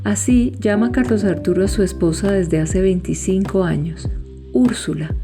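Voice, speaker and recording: A woman's voice: medium pitch, fairly even intonation, moderate pace. A woman, reading scripted podcast narration as host. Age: 60s. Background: guitar music.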